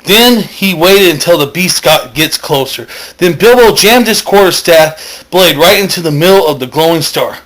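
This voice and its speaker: A man with a fairly high voice narrates a silly story. He rambles along loosely, corrects himself often and drifts into asides as he goes. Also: expressive, moderate pace, fairly bright tone, poor audio. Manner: rambling, self-correcting, digressive. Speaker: storyteller, host.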